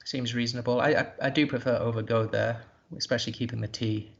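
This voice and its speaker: A man's voice: mid-range voice, fairly even intonation, quick pace, vocal fry, highs cut off. A man commentating a live board game, thinking aloud in a hesitant, musing way.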